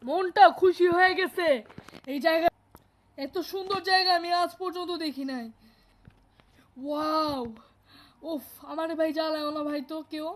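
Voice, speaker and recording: A performer voicing an excitable young cartoon character in Bengali with a squeaky, artificially high voice and a Bangladeshi accent. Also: normal speed, pauses often. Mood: cheerful. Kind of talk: amateur cartoon dubbing in colloquial Bengali.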